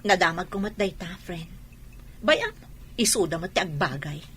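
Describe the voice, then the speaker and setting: A woman's voice: on the low side, expressive, moderate pace, fairly bright tone, breathy edge, heavily acted. A woman playing a patient, wounded wife and mother in a radio drama, speaking earnestly. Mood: hurt, resigned.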